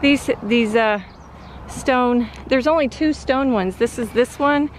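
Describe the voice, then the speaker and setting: A woman's voice: a little high, takes it slowly, a little hiss or hum. A woman chatting casually and cheerfully on a rooftop while showing a house restoration. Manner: chatty, upbeat, teasing. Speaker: co-host of a house restoration channel. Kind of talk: casual on-camera chat. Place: outdoors on a rooftop.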